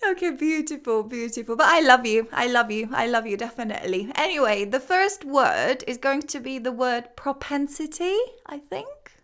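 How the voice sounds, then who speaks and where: A woman with a high voice, stuffed up and scratchy-throated from a cold. She chats warmly and loosely, full of fillers and endearments, as she runs a casual English lesson. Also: animated, normal speed, hardly any pauses. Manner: affectionate, chatty, many fillers. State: stuffy nose and sore throat. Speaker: English teacher, channel host. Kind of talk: casual chat.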